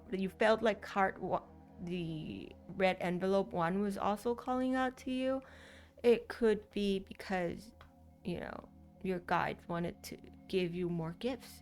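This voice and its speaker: A woman's voice: mid-range voice, a little mellow. A woman with a Thai accent whose first language is Thai, giving a casual card reading and rambling with many fillers and restarts. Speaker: tarot card reader.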